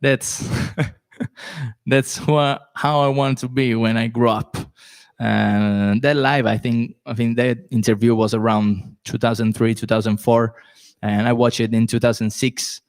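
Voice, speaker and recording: Casting: Italian man in his late twenties, live podcast host, easygoing and slow, searching for English words. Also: mid-range voice, no echo. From Italy, Rome. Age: late 20s. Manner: relaxed, chatty, searches for English words with many fillers. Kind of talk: live solo Q&A stream.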